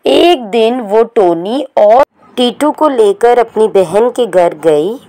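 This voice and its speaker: A woman narrating a children's cartoon story in a slow, even storytelling manner. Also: a little high.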